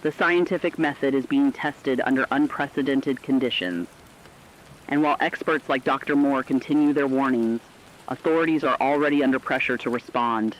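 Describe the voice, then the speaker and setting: A man's voice: medium pitch, normal speed, natural voice. A solemn male radio co-anchor played by an actor reads a dramatic scripted bulletin about a disaster. His mid-range voice is articulate, and the sound is slightly dull, with faint rain noise behind it. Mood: solemn, ominous. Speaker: news co-anchor.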